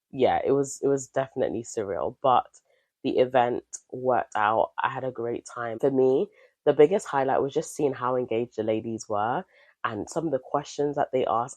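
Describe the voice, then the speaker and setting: A woman's voice: extremely low pitch, a little mellow, dry recording. A woman in her thirties hosting her own podcast, talking in a chatty, candid and upbeat way while nasal from a cold. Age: 30s. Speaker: podcast host. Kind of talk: casual solo podcast chat.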